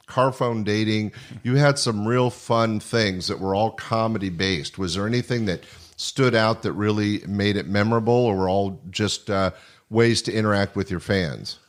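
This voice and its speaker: A man hosting a conversational interview, speaking in a complimentary, rambling way. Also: on the low side. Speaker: podcast host interviewing guests.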